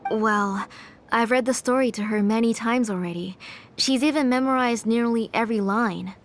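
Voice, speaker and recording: A woman's voice: fairly high pitch, moderate pace, slight background noise, natural voice. A woman playing a polite, protective but controlling aunt in a video game, composed and formal. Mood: gracious.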